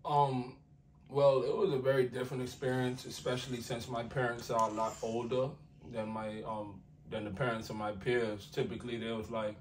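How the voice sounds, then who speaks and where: A man's voice: medium pitch, flat delivery, normal speed, distant mic, slight background noise, rough recording. A young American man, a rapper, talking casually in an interview, laid-back and slangy with lots of filler phrases. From United States. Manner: laid-back, slangy, many "like" and "you feel what I'm saying".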